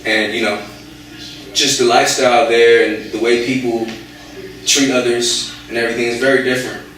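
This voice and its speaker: A man's voice: medium pitch, flat delivery, moderate pace, fairly bright tone. A young American man talking casually in an interview, hesitant, with many fillers and restarts. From United States. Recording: echoey room, voice from far off.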